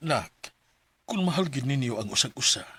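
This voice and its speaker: A man acting a calm, understanding middle-aged father in a radio drama. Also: medium pitch, normal speed, husky, no echo. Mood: patient, earnest.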